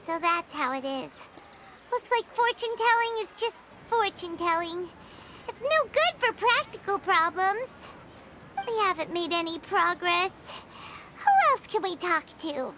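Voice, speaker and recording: A woman voicing a small, childlike fairy companion in a video game, squeaky, expressive and earnest. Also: measured pace, very noisy. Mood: disappointed. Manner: refers to self by name, expressive, earnest.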